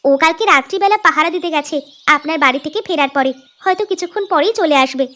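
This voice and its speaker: Woman acting a high-voiced, eager village wife. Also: quick pace, sharp tone. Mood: eager respectful. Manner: deferential, eager. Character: eager, deferential young village wife and home cook. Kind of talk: cartoon story voice acting.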